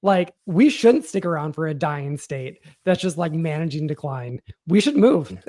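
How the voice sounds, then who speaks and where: A man's voice: on the high side, lively intonation, measured pace, dark tone. An American man hosting a podcast, chatting casually about politics in a blunt, informal way. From Washington State, United States. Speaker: podcast host.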